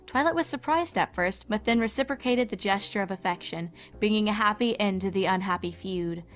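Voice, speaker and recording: A woman's voice: mid-range voice, brisk, faint music behind. A woman reading scripted third-person story narration as the narrator of a cartoon fan fiction.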